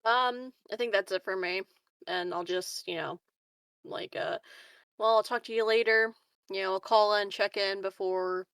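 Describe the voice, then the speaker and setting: A woman's voice: mid-range voice, steady tone, normal speed, fairly bright tone, clear, resonant tone, no echo. A woman playing a tabletop roleplaying game, chatting casually in character and trailing off hesitantly.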